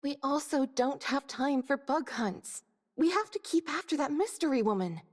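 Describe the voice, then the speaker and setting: A woman's voice: sits high, expressive, normal speed, long reverb tail. An actress playing a practical, matter-of-fact woman soldier.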